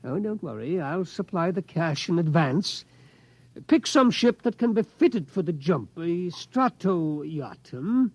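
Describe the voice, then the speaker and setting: An actor playing an obsessed, determined old tycoon in a 1950s radio drama, reminiscing and insistent. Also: male voice, fairly high pitch, very animated, a little slow, darkish tone, no room sound, exaggerated voice. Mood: brisk, scheming. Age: older. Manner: reminiscing, insistent, tags sentences with "hmm?". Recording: dull 1950s radio recording.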